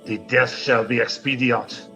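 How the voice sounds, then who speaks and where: A gloating, fanatical villain plotting at a Renaissance court. His sharp, forceful voice moves slowly and deliberately, savoring every venomous phrase in grand audio drama style, over faint music. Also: medium pitch, steady tone, gravelly, highs cut off. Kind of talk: melodramatic audio drama monologue.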